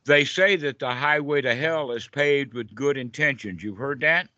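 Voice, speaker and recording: Older guy teaching buddhist stuff on a call, jovial n plainspoken, sharp bright voice, muffled lo-fi sound. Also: mid-range voice, moderate pace, no room sound. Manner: jovial, colloquial, rhetorical check-in questions. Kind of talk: informal dhamma talk in a group call. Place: online group video call. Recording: dull lo-fi online call audio.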